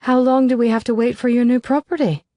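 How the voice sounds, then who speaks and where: A woman's voice: a little high, steady tone, fast, dark tone, dry recording, pitched above the performer's own voice, lightly acted. A woman voicing a perky, overly friendly young woman who talks eagerly. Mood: bubbly. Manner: bubbly, eager, chatty.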